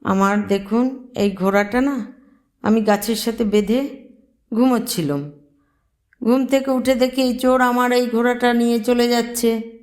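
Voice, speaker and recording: A wronged merchant from a children's folk tale, acted out by a woman storyteller. The voice is pitched up high, full of plaintive, indignant complaint. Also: unhurried, long pauses, darkish tone, close to an everyday voice. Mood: aggrieved.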